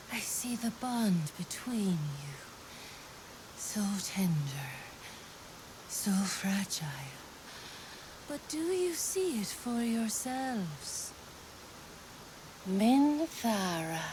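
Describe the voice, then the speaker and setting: A mysterious fey being from a fantasy game. She draws out each phrase extremely slowly and cryptically, sinking into breathy whispers, over a faint hiss. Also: mid-range voice, very animated. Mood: cryptic, probing. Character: mysterious female fey creature testing lovers' bond. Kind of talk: fantasy video game acting.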